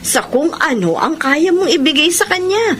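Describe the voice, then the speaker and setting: A Filipino actress playing a frank, sensible middle-aged neighbour who advises matter-of-factly in a radio drama. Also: female voice, sits high, animated, moderate pace, music in the background. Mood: gently admonishing.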